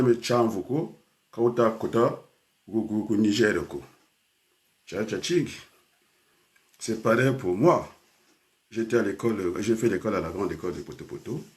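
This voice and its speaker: A man's voice: lowish voice, a little mellow. An older man from Congo, a teacher and trainer, speaking in a rambling personal talk full of hesitations and switches into Lingala.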